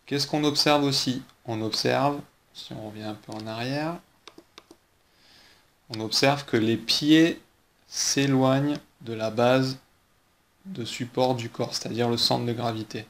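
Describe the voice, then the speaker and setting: A man coaching skiing, talking calmly over slow-motion footage and walking through each movement with rhetorical questions. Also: mid-range voice, a little hiss or hum. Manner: patient, explains step by step with rhetorical questions. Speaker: ski coach.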